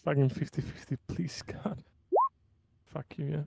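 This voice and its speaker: A man's voice: on the high side, steady tone, unhurried, vocal fry, very noisy. A man playing a tabletop game, talking casually and bluntly about his moves. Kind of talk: casual game chat with swearing.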